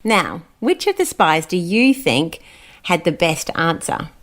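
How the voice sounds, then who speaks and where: Australian female children's story host, voice a little on the low side, simple explanatory delivery. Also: sing-song, slow.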